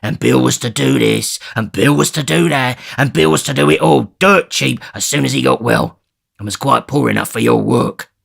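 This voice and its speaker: A man voicing a brutal, surly male housebreaker who growls his words gruffly and forcefully in a storybook reading. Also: medium pitch, normal speed, heavily acted. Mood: bitter. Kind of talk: audiobook character reading.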